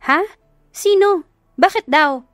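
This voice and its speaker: A woman's voice: very high voice, slow pace, a bit bright, no echo, clean studio sound, pitched above the performer's own voice, heavily acted. A woman voicing a bashful, defensive young woman in an audio romance, flustered and protesting, with a Filipino accent.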